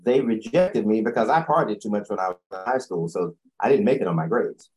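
Deep-voiced older man telling his story. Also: fairly quick, darkish tone, slightly rough, rough recording. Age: 60s. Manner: rambling, self-correcting storyteller. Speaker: guest. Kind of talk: storytelling interview.

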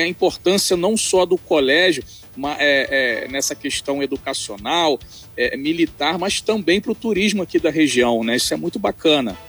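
A male host welcomes a guest on a talk show, speaking at a somewhat high pitch. He talks in a chatty, hesitant way, often pausing with "é". Faint music plays in the background. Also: fairly bright tone, husky. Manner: chatty, hesitant, with many "é" fillers. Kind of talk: radio talk show interviewing.

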